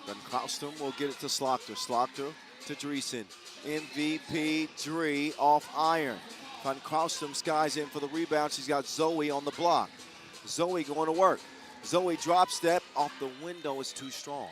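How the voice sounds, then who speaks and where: A man calling a basketball game play by play, energetic and jokey, getting louder on big plays. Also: fairly high pitch, a little slow. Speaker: play-by-play commentator. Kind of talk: live basketball commentary. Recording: music playing behind the commentary.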